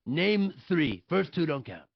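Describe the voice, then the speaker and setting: A man's voice: on the high side, big pitch swings, measured pace, creaky, dry recording, muffled recording, full character voice. A man playing an arrogant, petulant older politician in a comic stage script, blunt, indignant and dismissive, at a table read. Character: arrogant, petulant older male politician in afterlife waiting room. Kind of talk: live table read acting.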